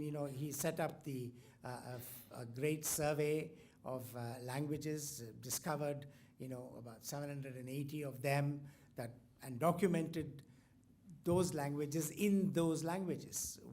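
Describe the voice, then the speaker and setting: A man's voice: a little high, unhurried, dark tone, slight background noise. Hesitant Indian man introducing a speaker. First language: Tamil. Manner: hesitant, full of ums and restarts. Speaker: university host introducing a lecturer. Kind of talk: formal speech with many fillers.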